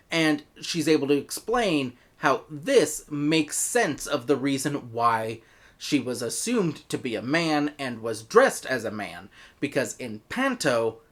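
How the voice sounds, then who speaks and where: Male American reviewer, opinionated narration, lively swooping intonation throughout. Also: a little high. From United States. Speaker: reviewer, host.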